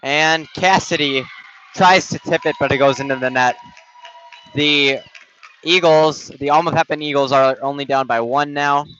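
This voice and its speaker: A young man doing amateur play-by-play for a volleyball game over a headset, his voice slightly raspy, casual and halting with restarts. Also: on the high side, steady tone, pushed and bright. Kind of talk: amateur sports commentary. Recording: dull, dry gym-stream audio.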